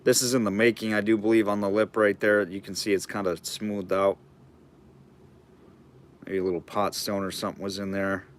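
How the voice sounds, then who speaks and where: A man running a live online auction, calling bids and chatting loosely about the items, his throat getting hoarse. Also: lowish voice, flat delivery, normal speed, a little hiss or hum. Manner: chatty, rambling asides between bid calls.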